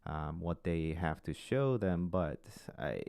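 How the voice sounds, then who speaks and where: A man with a Korean accent, Korean as his first language, hosting a casual talk show in a slow, hesitant way, pausing to form his sentences. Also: low-pitched, expressive, gravelly, a little mellow, smooth, no room sound, clean studio sound. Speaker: podcast host.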